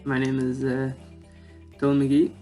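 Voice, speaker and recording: A man's voice: mid-range voice, monotone, a little slow, warm tone, faint music behind. A man from Donegal with a Donegal accent, introducing himself as a student with a little hesitation. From Donegal, Ireland. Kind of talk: personal introduction.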